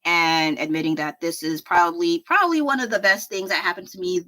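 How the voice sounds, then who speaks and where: A woman with a low voice, chatting casually at her home desk while drawing on a livestream, rambling and poking fun at herself with frequent laughs. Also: fairly quick, sharp tone. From United States. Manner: rambling, self-deprecating, laughs often. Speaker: livestreaming artist.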